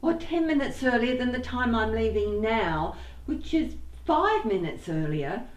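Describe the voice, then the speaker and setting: A woman's voice: on the high side, expressive, measured pace. Woman playing a scatterbrained, swooping older radio actress. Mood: flustered. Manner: flustered, rambling, over-explaining. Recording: roomy echo.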